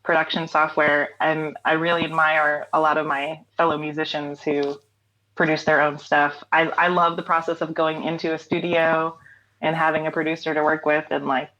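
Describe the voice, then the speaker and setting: A woman's voice: low voice, flat delivery, normal speed, fairly bright tone, muffled recording. A woman in her thirties, a singer-songwriter talking casually as an interview guest, rambling with fillers and laughs.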